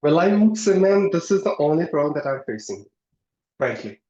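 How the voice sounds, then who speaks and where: A man from India whose first language is Hindi, speaking earnestly in a practice interview and pushing his pitch up to stress points. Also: very animated, normal speed, darkish tone, reverberant, highs cut off. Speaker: English learner and channel host.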